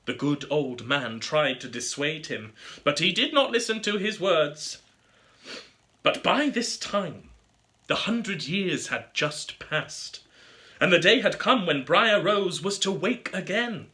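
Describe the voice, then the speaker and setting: A man reading a scripted fairy tale aloud as a storybook narrator, with an expressive, theatrical delivery. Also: a little high, slow. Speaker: storybook reader.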